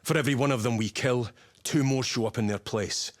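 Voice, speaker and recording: An actor playing a stern, battle-hardened Space Marine commander in a video game, giving terse, authoritative orders in a gritty voice. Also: male voice, mid-range voice, fairly quick, natural voice. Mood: grave, commanding. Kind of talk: dramatic video game voice acting, mission briefing.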